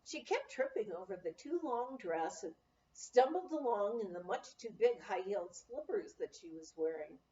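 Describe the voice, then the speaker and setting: A woman reading a memoir aloud as narration, in a measured storytelling way with dramatic emphasis. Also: medium pitch, expressive, warm tone. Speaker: audiobook reader. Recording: roomy, slightly dull home recording.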